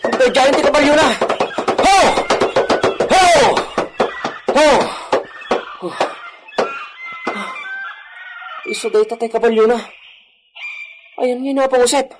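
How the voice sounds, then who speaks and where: A frantic young Ilocano man played by a male actor in a radio drama, exclaiming urgently in a very high voice. Loud music plays behind him. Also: moderate pace, long pauses, low-quality recording. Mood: frantic, urging.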